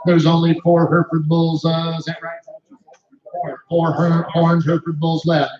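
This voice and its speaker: A man working as a livestock auctioneer, calling bids in a rapid rhythmic chant. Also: fairly high pitch, completely flat delivery, roomy sound, dull audio. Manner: rhythmic repetitive bid calling. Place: cattle sale ring.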